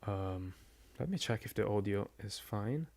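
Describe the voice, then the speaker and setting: Man, quiet voice, very slow, hesitant, fillers and restarts, live-stream commentator. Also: lowish voice, lively intonation, vocal fry. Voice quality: quiet, soft-spoken. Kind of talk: casual live-stream chat.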